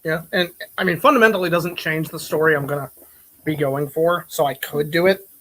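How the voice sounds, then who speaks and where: A man's voice: a little high, fairly even intonation, a little fast, fairly bright tone, poor audio. A man casually talking with friends as host, explaining his thoughts in a hesitant, considered way. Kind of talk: casual group chat.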